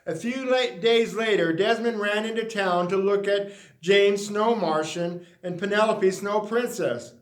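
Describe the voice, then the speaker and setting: A man reading a children's picture book aloud in an animated storytelling style with emphatic, deliberate phrasing. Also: high voice. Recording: roomy, echoey sound.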